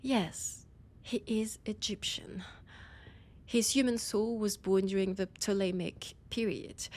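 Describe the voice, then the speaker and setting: A woman's voice: mid-range voice, darkish tone, breathy edge. A woman with a French accent voicing an annoyed, imperious vampire scholar in an intimate audio roleplay, haughty and commanding, often slipping into a whisper.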